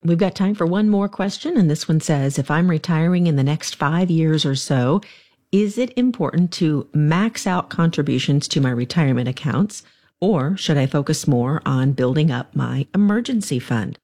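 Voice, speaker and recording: Low, lively woman radio host. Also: moderate pace. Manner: friendly, laughs often, brief reactions. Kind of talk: hosting a radio talk show.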